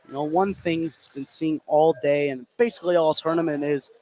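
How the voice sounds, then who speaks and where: A man working as color commentator on a live high school basketball broadcast, giving conversational analysis between plays. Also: fairly even intonation, fairly quick, warm tone.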